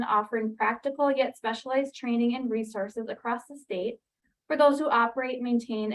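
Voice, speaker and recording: Brisk woman hosting a webinar. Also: fairly high pitch, poor audio. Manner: brisk, many ums and restarts. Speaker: webinar host for a training program. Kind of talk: webinar introduction. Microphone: computer mic on a video call.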